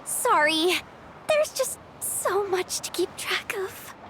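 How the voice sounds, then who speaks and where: A woman's voice: very high-pitched, sing-song, slow pace, pushed and bright, a little hiss or hum, full character voice, low-quality recording. A woman voicing a frazzled, busy young expo organizer in a video game, talking in casual slang with stammers and sighs. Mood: harried. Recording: music behind the voice.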